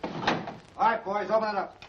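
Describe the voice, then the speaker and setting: A man's voice: high-pitched, flat delivery, normal speed, close to an everyday voice. An actor playing a bullying gangster henchman in a 1940s film serial, barking taunts and orders. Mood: taunting menace. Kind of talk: 1940s movie serial acting. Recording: noisy, muffled old film, distant mic.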